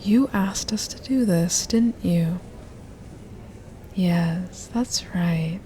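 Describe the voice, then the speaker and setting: Woman playing slow, mellow, mischievous female hypnotist. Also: on the low side, slight background noise. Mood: coaxing, smug. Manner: breathy half-whispering, drawn-out, coaxing. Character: mischievous female hypnotist, coaxing, smug and seductive. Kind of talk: hypnosis ASMR roleplay.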